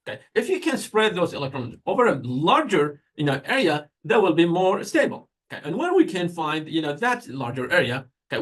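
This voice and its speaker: Higher-voiced man with a Persian accent, lecturing. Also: a bit bright. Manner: conversational, rhetorical questions to students. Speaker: university chemistry lecturer. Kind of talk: informal classroom lecture.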